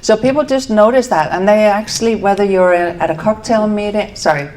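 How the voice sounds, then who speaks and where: A woman's voice: mid-range voice, fairly quick. Danish-accented woman giving a talk. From Denmark. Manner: warm conversational, with fillers and self-corrections. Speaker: speaker. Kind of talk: casual presentation to a live audience. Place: event venue.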